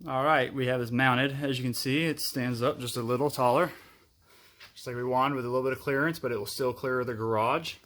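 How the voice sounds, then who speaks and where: A man's voice: medium pitch, steady tone, fairly quick, fairly bright tone. A man hosting a casual how-to video, explaining step by step as he demonstrates. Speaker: host of a DIY vehicle video.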